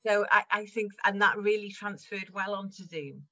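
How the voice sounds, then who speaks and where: A woman's voice: mid-range voice, moderate pace, a bit bright, dull audio. A woman from Wales chatting casually in an interview, laughing often and restarting her sentences as she talks. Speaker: guest improviser.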